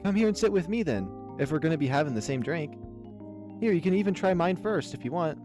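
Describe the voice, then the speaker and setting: Man voicing a higher-pitched, playful youthful animal pal. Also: animated, fast, music in the background, natural voice. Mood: eager, friendly. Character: playful, eager, youthful male animal friend. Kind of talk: visual novel character dub.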